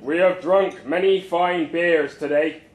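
Fervent cult-like boss, acted by a male storyteller: high-pitched rousing declamation, preacherly, roomy sound. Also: slow pace. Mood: grand, solemn. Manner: rousing, declamatory, chant-like call to the crowd. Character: fervent male boss rallying a beer-worshipping congregation like a preacher.

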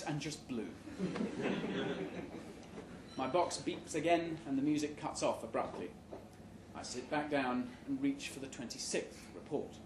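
A man giving a live, measured, deadpan reading of satirical fiction at an indoor venue. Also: a little high. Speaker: short story reader. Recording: roomy, noisy live venue recording.

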